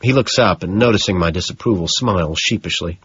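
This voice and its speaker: Low, husky male audiobook narrator. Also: a little fast, fairly bright tone, dry recording, muffled recording. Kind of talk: scripted first-person narration.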